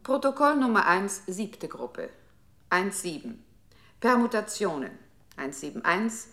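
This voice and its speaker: Female computer controller, bright sharp tone, measured slow pace, clipped, impersonal, sixties radio play. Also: medium pitch, big pitch swings. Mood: strict, impersonal. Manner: clipped, exact, announcing commands. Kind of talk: 1960s German experimental radio play.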